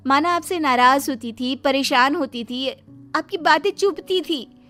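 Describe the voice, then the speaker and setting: A woman's voice: high voice, a little slow, pushed and bright, faint music behind, pitched above the performer's own voice. A woman voicing a devoted, affectionate young daughter-in-law in a cartoon story, sweet and earnest. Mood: tender, earnest. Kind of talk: Hindi cartoon moral story acting.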